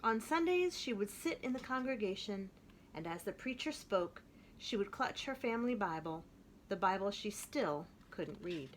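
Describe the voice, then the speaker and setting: A woman reading a children's picture book aloud in an expressive way, slowing down to stress key phrases. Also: mid-range voice, slight background noise. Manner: expressive, with slowed emphatic phrases. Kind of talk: storybook read-aloud.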